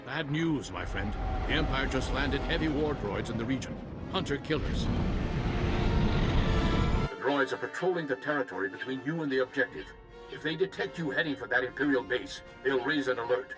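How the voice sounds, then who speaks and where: A man playing an earnest, steady Jedi commander who gives serious tactical briefings in a video game. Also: mid-range voice, moderate pace, lightly acted. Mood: serious, cautious. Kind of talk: video game cutscene acting. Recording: long hall reverb, faint game music.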